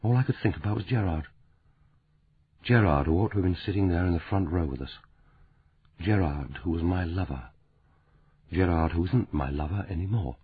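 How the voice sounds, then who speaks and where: A man's voice: low-pitched, moderate pace, long pauses, slightly rough, no echo. A man reading a hurt, bitter young woman. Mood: hurt, humiliated. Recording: tinny phone-like sound.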